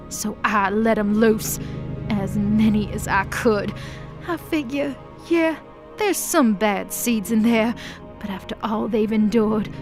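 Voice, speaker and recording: A woman's voice: on the high side, close to an everyday voice. A woman playing an embittered, defiant demon of hell in an audio drama, speaking with passion and slangy sarcasm. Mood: impassioned, outraged. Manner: impassioned, sarcastic, casual slangy speech. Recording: prominent music under the voice.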